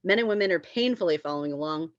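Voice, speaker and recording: A woman's voice: deep voice, expressive, rapid-fire, no echo, dull audio. A woman reading screenplay stage directions as the narrator of a table read.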